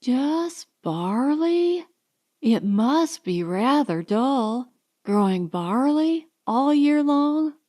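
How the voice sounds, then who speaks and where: Woman playing a high-pitched, gushing, haughty young socialite. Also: expressive, extremely slow, mellow, no room sound, clean studio sound, heavily acted. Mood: condescending. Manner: gushing, affected, condescending. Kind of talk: audiobook character dialogue.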